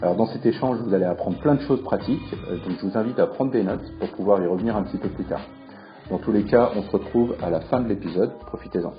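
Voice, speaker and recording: A male podcast host introducing the episode at a measured pace, with frequent hesitations. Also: lowish voice, dull audio, music in the background.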